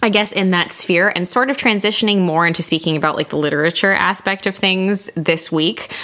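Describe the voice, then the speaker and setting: A woman's voice: deep voice, sharp tone. A woman co-hosting a podcast, chatting casually about books in an informal, joking way. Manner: chatty and informal, quick to joke. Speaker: podcast co-host. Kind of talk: casual book discussion chat.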